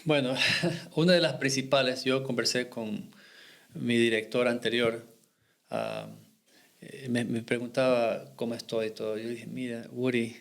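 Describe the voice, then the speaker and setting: A man's voice: mid-range voice, expressive, unhurried, gravelly. A middle-aged man from Ecuador, a missionary guest in a podcast interview, telling his faith story in an earnest, reflective way with short restarts.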